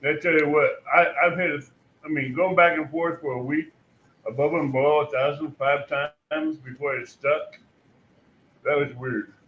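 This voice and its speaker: A man's voice: on the high side, fairly even intonation, moderate pace. A man chatting casually as a streamer on a live panel, in a muffled, noisy, echoey recording. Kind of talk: casual chat.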